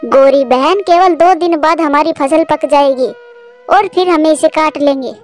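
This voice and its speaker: Woman doing a kind patient mama bird for a kids cartoon, high voice, music behind. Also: a little slow. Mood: earnest. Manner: patient, instructive. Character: hardworking gentle mother bird. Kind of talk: Hindi children's cartoon voice acting.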